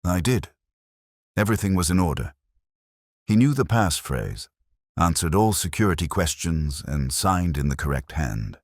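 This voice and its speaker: Man reading as a wary, deep-voiced older banker. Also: very animated, no room sound, clean studio sound, full character voice. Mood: measured. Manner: measured, formal, reserved. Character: wary, polite sixty-year-old male bank manager.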